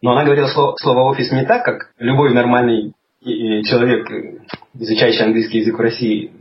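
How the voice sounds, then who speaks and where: A man talking in a relaxed conversation, thoughtful and hesitant, with many restarts as he explains things. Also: mid-range voice, fairly quick. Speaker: guest. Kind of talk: casual conversation. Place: lives in England. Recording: slightly dull lo-fi audio with faint noise.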